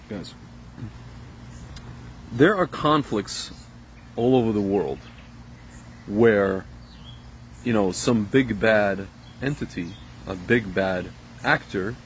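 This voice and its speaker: A low-voiced man from Ukraine talks straight to his viewers, circling his point with fillers and restarts. His voice sits deep, and a faint noise hums behind him. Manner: rambling, addresses viewers directly, many fillers and restarts. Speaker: vlogger. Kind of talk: casual opinion monologue to camera.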